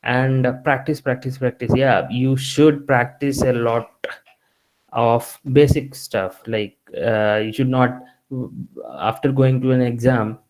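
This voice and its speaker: A man's voice: mid-range voice, fairly even intonation, slow, a little mellow, low-quality recording. A man, a Telugu speaker, giving conversational career advice to engineering students and often restarting his sentences. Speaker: guest speaker.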